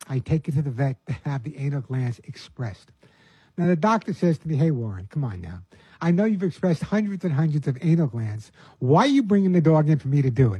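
A chatty older man from New York gives pet advice on his show. His voice is husky and mellow, pitched a bit high for a man. It is recorded close on a dry mic. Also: no echo, low-quality recording. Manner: chatty, repeats words, answers listener emails. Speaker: pet behaviorist host. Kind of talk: casual advice talk.

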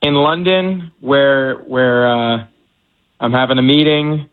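A man from the United States, an actor guesting on an interview, talking in a relaxed way and restarting often. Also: mid-range voice, slow pace, clean tone. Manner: relaxed, stumbling, many restarts. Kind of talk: casual interview. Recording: tinny phone line.

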